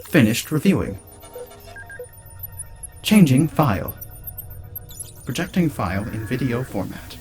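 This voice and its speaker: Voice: mid-range voice, sing-song, slow pace, long pauses, music in the background. A performer voicing a computer system in an amateur audio drama, giving clipped status announcements. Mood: procedural.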